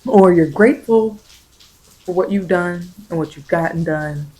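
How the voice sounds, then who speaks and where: A tarot reader speaks at a middling pitch in an improvised ASMR style. The delivery is halting and reflective, with phrases repeated and reworked as the reading unfolds. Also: warm tone, poor audio.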